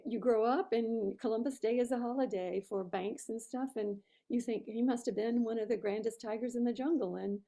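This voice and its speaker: A woman's voice: on the high side, steady tone, brisk, vocal fry, hardly any pauses, dark tone. A woman taking part in a workshop, casually sharing her reflections with the group. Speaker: workshop participant sharing feedback.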